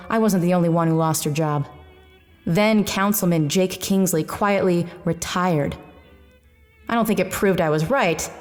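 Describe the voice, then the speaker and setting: A woman's voice: lowish voice, lightly acted. An actress playing a hard-drinking, wisecracking, world-weary female private eye in a noir detective audio drama. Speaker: lead actor in a science fiction noir audio drama.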